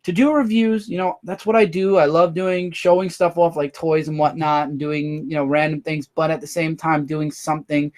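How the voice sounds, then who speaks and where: A man hosting a casual livestream, rambling through viewer comments with quick asides. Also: a little high, fairly even intonation, a little mellow. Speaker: livestream host.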